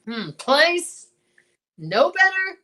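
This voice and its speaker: A woman's voice: very high-pitched, very animated, very slow, pushed and bright, exaggerated voice. A woman playing a folksy older male small-town marshal, hemming and exclaiming in old-time radio drama style. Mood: puzzled.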